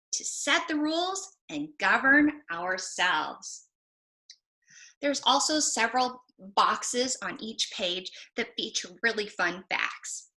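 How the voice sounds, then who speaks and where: A woman with a bright, forceful voice presents books to children. Her pitch sits on the high side and moves in lively, expressive swings as she poses questions and explains the answers. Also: rough recording. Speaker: library presenter. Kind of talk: book talk for children.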